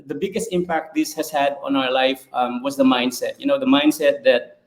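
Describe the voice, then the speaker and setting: A man who works at a mine site, giving a halting testimonial on a live webinar, with many fillers and nervous pauses. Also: medium pitch, animated, gravelly. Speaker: fly-in mine worker and business partner. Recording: echoey room.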